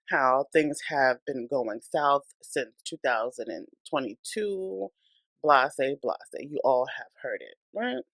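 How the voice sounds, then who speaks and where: A woman's voice: extremely low pitch, a bit bright, no room sound. A woman hosting a celebrity gossip channel, speaking in a blunt, opinionated, chatty way while congested from a cold and breathing hard. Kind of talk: casual celebrity gossip commentary.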